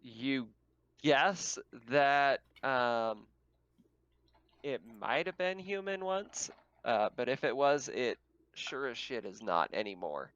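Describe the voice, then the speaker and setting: A man's voice: mid-range voice, very animated, takes it slowly, fairly bright tone, clean tone, no room sound. A man speaking casually as game master, narrating and refereeing a tabletop roleplaying game, swearing freely, on a slightly muffled microphone.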